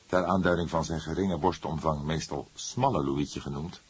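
A man reading a novel aloud as an audiobook narrator, in a measured storytelling manner. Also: a bass voice, slightly rough, dry recording. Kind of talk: scripted literary narration.

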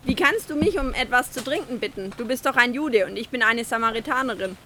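Bright, questioning village woman. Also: fairly high pitch, expressive, fairly quick, slight background noise. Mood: surprised, doubtful. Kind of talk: scripted audio drama of a Bible story.

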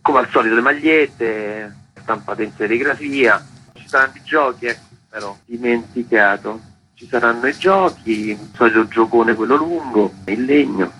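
A man's voice: medium pitch, moderate pace, a little hiss or hum, poor audio. A man, a festival organizer chatting loosely in a radio interview, full of fillers. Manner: rambling, relaxed, many fillers.

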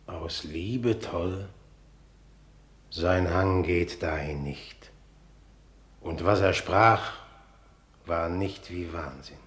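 An actor playing a grave, suspicious, calculating king in a classical radio tragedy, measured and brooding. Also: low-pitched, a bit bright, heavily acted. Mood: brooding, resolute. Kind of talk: classical stage tragedy in German radio drama.

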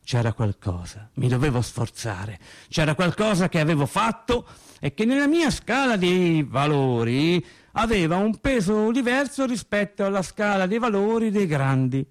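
A male actor playing a wry storyteller recalling boyhood with childlike logic, in an expressive, conversational theatrical monologue. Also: sits high, normal speed. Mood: wry, self-justifying. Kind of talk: theatrical first-person radio monologue.

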